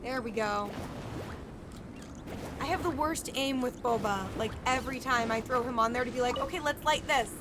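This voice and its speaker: A woman's voice: on the high side, moderate pace, noisy background. A young woman giving casual, playful, chatty live commentary as she plays a video game. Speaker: game streamer. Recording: loud game music behind voice. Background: video game music and combat sounds.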